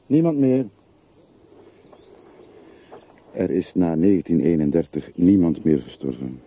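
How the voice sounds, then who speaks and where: A man's voice: deep voice, sing-song, normal speed, husky, full character voice. An actor playing a plain-spoken, deferential working man in a radio play, politely and earnestly insistent, on an old recording. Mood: solemn. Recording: noisy, muffled, phone-like old radio recording.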